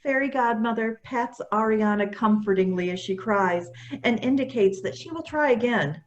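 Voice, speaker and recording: A woman's voice: on the high side, normal speed, muffled recording, a little hiss or hum. A woman reading scripted stage directions as narrator of a staged play reading.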